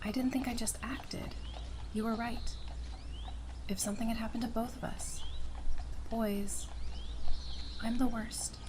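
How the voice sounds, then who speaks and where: A woman's voice: a little high, steady tone, a little slow, pauses often, background noise, lightly acted. A woman playing an anxious, loving wife and mother among survivors in an audio drama, emotional and plain-spoken. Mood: anxious, guilty. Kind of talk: fan audio drama acting.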